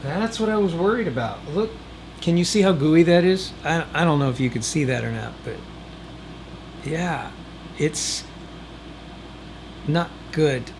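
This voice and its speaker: A man's voice: on the high side, a little slow, pauses often, background noise. A man hosting a DIY video in a casual, folksy way, with wry asides to the viewer. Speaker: host of a DIY video channel. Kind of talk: casual chatty DIY narration.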